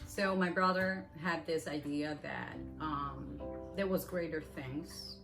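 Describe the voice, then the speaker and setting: A woman's voice: low voice, slow pace, gravelly. A woman from Venezuela, a native Spanish speaker, speaking with a Venezuelan Spanish accent in a documentary interview, stringing long sentences together with "and then". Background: background music.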